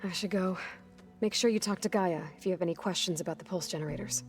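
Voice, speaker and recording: A woman's voice: on the low side, quick pace, soft background music, close to an everyday voice. A woman playing a young warrior in a video game, guarded but caring, with a direct, understated way of talking. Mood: reassuring, matter-of-fact. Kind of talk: video game cutscene acting.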